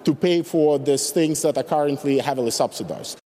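A man's voice: a little high, moderate pace, dark tone. A man speaking as an expert analyst, thinking his points through aloud. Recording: long reverberant hall sound.

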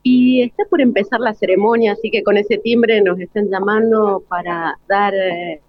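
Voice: mid-range voice, steady tone, moderate pace, no echo, phone-quality sound. A presenter making a live, unscripted radio announcement.

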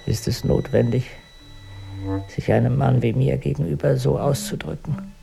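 An actor playing an evasive, stiffly dignified husband in a radio drama, formal and deadpan. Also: male voice, mid-range voice, normal speed, creaky, music in the background. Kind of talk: German radio drama acting.

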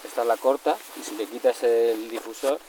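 A man's voice: mid-range voice, fairly even intonation, brisk, warm tone. A man making casual, colloquial conversation outdoors with clipped everyday speech. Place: outdoors in the countryside at night. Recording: distant outdoor recording, clear background noise.

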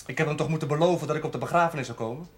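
An actor playing a bitter, sneering young male prisoner, fairly high-voiced. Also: very fast, close to an everyday voice. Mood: menacing. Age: young adult. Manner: sneering, sarcastic. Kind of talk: television drama acting.

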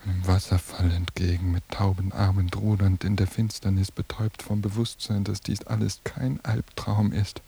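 A man's voice: low voice, little pitch movement, moderate pace, gravelly, few pauses, warm tone, husky, exaggerated voice. An actor playing a fearful young man caught in a nightmarish vision, narrating his inner thoughts in a haunted, intense radio monologue. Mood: pleading, haunted. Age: young adult. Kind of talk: literary radio drama monologue.

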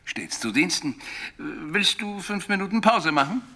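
A man's voice: high-pitched, very animated, measured pace, heavily acted. An actor playing a male recording producer who makes brief, wry, obliging remarks in radio play dialogue.

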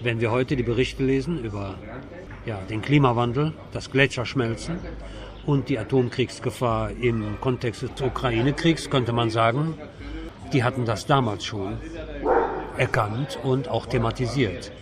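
A man's voice: mid-range voice, a little slow, a crowd in the background. A man hosting a conversational cultural radio interview. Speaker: radio host interviewing a guest.

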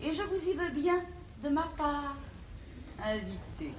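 A woman's voice: high voice, sing-song, measured pace. An actress playing an imperious, haughty learned mother of a bourgeois house, declaiming verse grandly in a classical French stage comedy. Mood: defiant, commanding. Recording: noisy vintage 1950s theatre recording.

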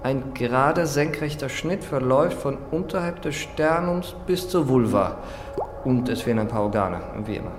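An actor playing a dry, brusque forensic pathologist in a radio drama, with clinical, curt and sardonic delivery. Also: male voice, medium pitch, lively intonation, moderate pace, reverberant, big-room sound, noisy background, close to an everyday voice. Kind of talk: naturalistic German radio drama acting.

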